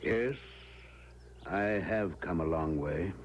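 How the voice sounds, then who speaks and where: An actor playing a weary, mysterious stranger in an old radio drama, speaking in slow, sparse, deliberate phrases. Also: male voice, low voice. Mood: weary, ominous. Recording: band-limited old radio, faint noise.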